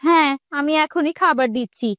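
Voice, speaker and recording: A woman's voice: sits high, brisk, a bit bright, no room sound, studio quality. A woman voicing a practical, mildly scolding village housewife in a fairy-tale cartoon, in a homely manner. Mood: coaxing.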